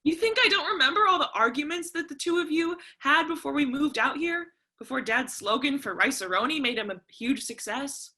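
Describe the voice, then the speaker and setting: A woman reading the part of a defiant, sharp-tongued young art-student daughter in a stage drama, sarcastic and confrontational. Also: high-pitched, expressive, brisk, hardly any pauses. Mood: demanding.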